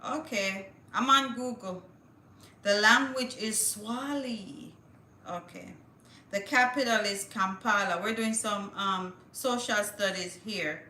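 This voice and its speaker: Bright-voiced woman livestreaming. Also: medium pitch, slow, echoey room. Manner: chatty, thinks aloud, reads with stumbles. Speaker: livestream host. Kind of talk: casual livestream chat, reading news aloud.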